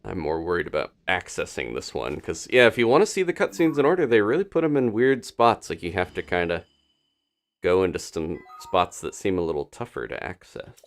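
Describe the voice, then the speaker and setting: A man's voice: sits low, sing-song, a little fast. A man giving casual live video game commentary as a streamer, musing to himself and thinking aloud as he plays.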